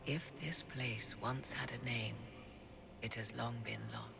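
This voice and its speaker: An actress playing a cryptic, self-assured sorceress in a fantasy video game, speaking deliberately and theatrically in archaic phrasing. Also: female voice, very deep voice, fairly even intonation, creaky, noisy background, heavily acted. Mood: enigmatic. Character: cryptic, self-assured sorceress, adult woman with arcane knowledge.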